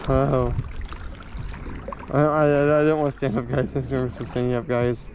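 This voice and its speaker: A man's voice: medium pitch, fairly even intonation, moderate pace. A man chatting casually to his viewers while paddling on a lake, rambling and repeating himself, recorded on an action camera mounted on the board. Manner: rambling, repeats words, keeps saying guys. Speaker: vlogger. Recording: outdoor camera audio with water noise. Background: water splashing and paddling.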